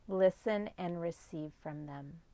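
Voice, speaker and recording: A woman guides a yoga nidra meditation in a lowish voice with noticeable creak. She speaks very slowly and gently, leaving long pauses between measured instructions. The sound is close and dry. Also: dry recording.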